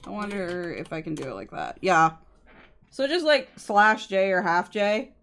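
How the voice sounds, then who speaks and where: A woman's voice: mid-range voice, animated, measured pace, gravelly, a bit bright. A woman livestreamer chatting casually with her co-host and viewers, explaining things politely. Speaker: game livestreamer. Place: indoor streaming setup at a computer.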